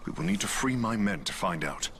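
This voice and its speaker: An actor playing a wry rebel deserter in a fantasy game, with a conversational delivery. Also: male voice, fairly low pitch, big pitch swings, fairly quick, a bit bright, exaggerated voice. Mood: persuasive. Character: wry deserter leading outcast rebels, devoted husband. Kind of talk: video game cutscene acting. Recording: clear background ambience noise.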